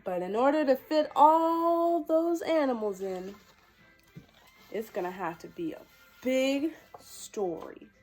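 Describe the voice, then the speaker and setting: A woman's voice: sits high, animated, slow pace, darkish tone, soft background music. A woman hosting a children's storytime, reading a picture book expressively with playful, exclamatory asides. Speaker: storytime host.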